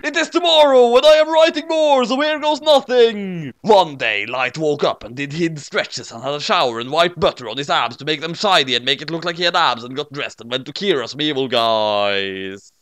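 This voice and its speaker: A man's voice: on the high side, moderate pace, a bit bright, no room sound, faint music behind. A man reading a comic story aloud in an exaggerated, mock-serious dramatic way.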